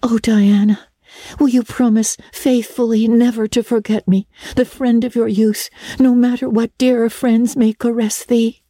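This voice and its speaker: Woman playing a high-voiced, melodramatic young girl. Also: fairly even intonation, measured pace, mellow, dry recording, clean studio sound. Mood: tearful, pleading. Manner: theatrical, solemn, flowery old-fashioned speech. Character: Anne, earnest, romantic, melodramatic young orphan girl. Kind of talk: dramatic audiobook reading.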